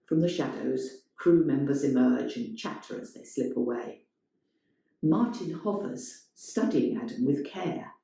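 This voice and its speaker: A woman's voice: very deep voice, lively intonation, unhurried, creaky, warm tone. A woman reading a scripted story as dramatic narration. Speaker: reader of a play-like story. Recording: very echoey, reverberant room.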